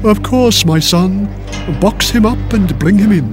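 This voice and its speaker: A man's voice: high voice, lively intonation, unhurried, music in the background. A man voicing a kindly, gullible bishop in a storybook tale, speaking in an earnest pastoral way. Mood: obliging.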